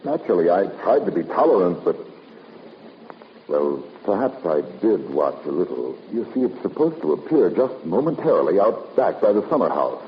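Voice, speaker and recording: Fussy, halting man, swooping intonation. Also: low-pitched, big pitch swings. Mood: hesitant, evasive. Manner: hesitant, halting. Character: fussy, evasive male witness, reluctant and defensive. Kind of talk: 1950s radio drama acting. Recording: muffled noisy 1950s radio, long reverb.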